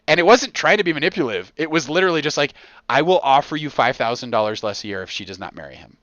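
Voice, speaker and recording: Male podcast host, bright and forceful, slightly dull dry recording, casual storyteller who voices imagined quotes. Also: a little high, animated, fast talker, no echo. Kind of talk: casual rambling podcast chat.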